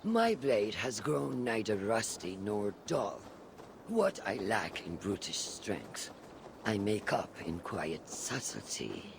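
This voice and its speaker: An actor playing a seasoned older assassin mentor in a video game, shrewd and patient, speaking in measured, formal, archaic phrases. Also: male voice, on the low side, sing-song, vocal fry, background noise, heavily acted. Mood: proud, teasing. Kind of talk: dramatic video game dialogue.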